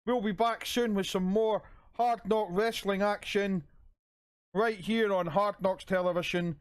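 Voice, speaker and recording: A man with a hoarse voice commentating a video game wrestling match and hosting his channel, with an announcer's style. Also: fairly even intonation, a little slow. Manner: hype announcer, booming when calling the finish.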